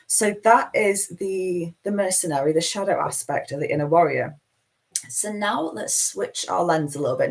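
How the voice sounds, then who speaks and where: A woman with a broad Manchester accent and a low, deep-set voice hosts a live spiritual talk. She meanders through her points in a chatty, self-deprecating way. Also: slightly rough, low-quality recording. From Manchester, England. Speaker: spiritual teacher hosting a live session.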